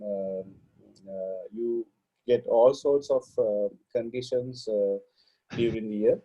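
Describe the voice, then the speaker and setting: A man's voice: on the low side, takes it slowly, dark tone. A man from Sri Lanka, a podcast guest on a video call, speaking hesitantly with many fillers. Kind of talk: casual video-call interview. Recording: dull lo-fi sound.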